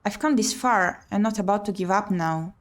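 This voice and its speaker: A woman streamer reading a visual novel aloud in an expressive, theatrical way. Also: mid-range voice, normal speed. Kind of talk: dramatic read-aloud narration. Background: game music.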